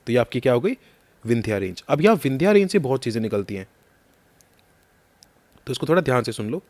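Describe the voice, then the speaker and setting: A man's voice: medium pitch, sing-song, fast talker, a little mellow. A man teaching a map lesson for exam prep. He quizzes the listener and repeats the key names, and his throat is a little sore.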